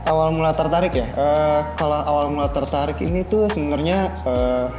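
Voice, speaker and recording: A man's voice: fairly high pitch, fairly quick. A young man, a student guest chatting casually in an interview. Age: young adult. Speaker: podcast guest, university student. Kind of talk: casual chat. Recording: music playing under the voice. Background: background music.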